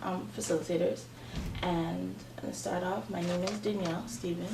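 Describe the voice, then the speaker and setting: A woman's voice: on the low side, steady tone, normal speed, creaky. A woman in her twenties facilitating a student group meeting, earnest and prayerful, with many fillers. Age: 20s. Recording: echoey room, distant mic, background noise.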